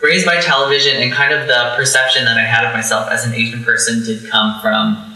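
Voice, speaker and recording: A young man, a drag performer, talking candidly on a podcast with lots of fillers and animated storytelling. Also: mid-range voice, brisk, far from the mic, a crowd in the background. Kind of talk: casual podcast conversation. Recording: echoey room, away from the mic.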